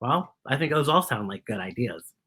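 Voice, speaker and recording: Woman, children's storyteller, very lively intonation, bright tone, expressive, exclaiming, asks questions of young listeners. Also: very deep voice, normal speed, slightly rough. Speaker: children's story time host. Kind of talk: animated picture-book storytelling for young children.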